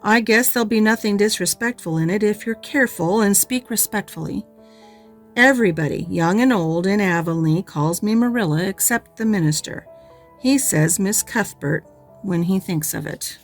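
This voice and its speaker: A woman voices a stern middle-aged spinster who answers curtly and with open disapproval. She speaks at a mid pitch, close to the reader's own voice, in a dry, matter-of-fact way. Faint music sits under the reading. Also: normal speed, lightly acted. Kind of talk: audiobook character reading.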